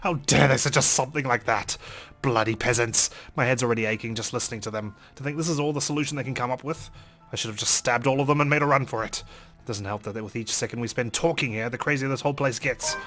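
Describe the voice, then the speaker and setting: Guy doing let's play narration, mid-range voice, dramatic reading, soft music behind. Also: mid-range voice, fast talker. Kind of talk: dramatic reading and commentary on a visual novel.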